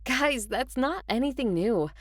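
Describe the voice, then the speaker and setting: An actress playing a level-headed, reassuring young sister who takes charge in a modern audio drama. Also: female voice, high-pitched, expressive, a little slow, no room sound. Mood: calmly reassuring.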